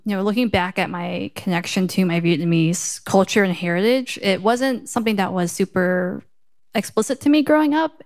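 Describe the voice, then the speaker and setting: A young woman from the US Midwest founded a book club and is speaking in a panel conversation on stage at a book festival. She talks thoughtfully and hesitantly, with many fillers. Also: medium pitch.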